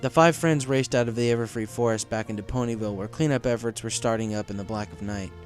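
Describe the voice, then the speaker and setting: A man's voice: medium pitch, fast. A man narrating a scripted story as an audiobook reader, in a dramatic storytelling manner. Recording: music behind the voice.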